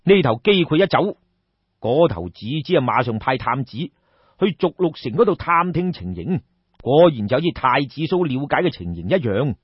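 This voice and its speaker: A man's voice: a little high, husky, dry recording, highs cut off. A man narrating in Cantonese as a theatrical, colloquial traditional storyteller. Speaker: audiobook storyteller.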